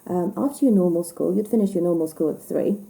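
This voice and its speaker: A young woman from Estonia, Estonian her first language, speaking English as a guest in a conversational interview, thoughtful and halting with many fillers. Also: fairly low pitch, creaky, dark tone, low-quality recording. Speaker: guest, production designer.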